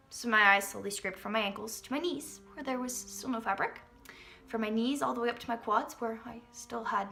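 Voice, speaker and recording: A woman's voice: sits high, gravelly, pushed and bright. A young woman, telling a comedic personal story to an audience in a theatrical, emphatic way. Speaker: vlogger, storyteller. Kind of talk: dramatic comedic storytelling to camera.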